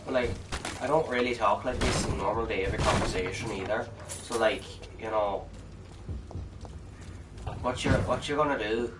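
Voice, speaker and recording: A man's voice: fairly low pitch, moderate pace, long pauses, far from the mic, very noisy. A man from Ireland chatting casually while streaming a game, rambling and joking as he plays. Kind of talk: casual gameplay commentary. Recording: roomy, off-mic, game music behind.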